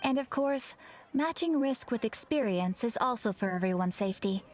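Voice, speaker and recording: A woman's voice: on the high side, animated, moderate pace, a little mellow. A woman playing a courteous young guild receptionist in a video game, speaking in a polite, formal, composed way. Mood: helpful, instructive. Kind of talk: scripted video game character dialogue.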